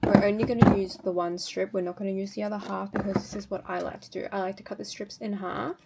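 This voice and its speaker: A woman's voice: medium pitch, level tone, fast, creaky. Chatty woman vlogger with background noise. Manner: informal, chatty, self-narrating each step. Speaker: beauty vlogger hosting a routine video. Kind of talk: casual tutorial chat to viewers.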